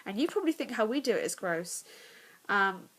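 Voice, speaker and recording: A woman's voice: mid-range voice, expressive, brisk, a bit bright, low-quality recording. A young English woman vlogging casually about her pregnancy, congested and nasal, chatty and candid, often dropping to a whisper, at home in the evening. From England.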